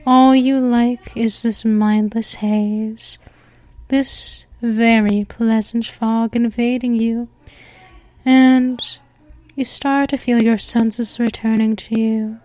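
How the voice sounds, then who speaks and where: Woman as vampire mistress for a hypnosis roleplay: soft, coaxing, unhurried, higher voice, bone-dry close sound. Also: steady tone, no room sound, rough recording. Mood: seductive, possessive. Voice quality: soft, slow, hypnotic, with drifting whispers. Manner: coaxing, repetitive, counts down. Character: seductive female vampire mistress, possessive and commanding.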